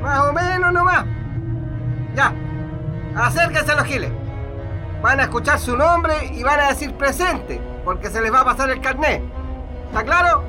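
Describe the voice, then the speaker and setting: An actor playing a sadistic, mocking Chilean military corporal in a radio drama, taunting prisoners and barking orders. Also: male voice, very high voice, animated, moderate pace, pauses often, poor audio. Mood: mocking, commanding. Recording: music bed under the voice.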